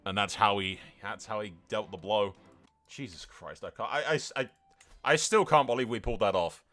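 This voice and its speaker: A man with a lowish, forceful voice commentates on a video game. He talks casually and bluntly in slangy, sweary bursts, reacting as he goes, with music faintly underneath. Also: moderate pace. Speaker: gaming channel host.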